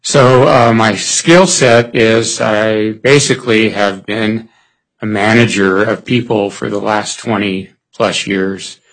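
A man in his sixties, hoarse, answering interview questions for a board seat at a public meeting, pausing with many fillers and restarts. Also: fairly low pitch, a bit bright, low-quality recording.

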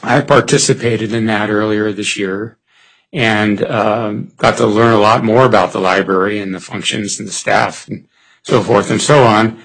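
A man in his sixties, hoarse, answering interview questions for a board seat at a public meeting, pausing with many fillers and restarts. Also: on the low side, steady tone, fairly bright tone, low-quality recording.

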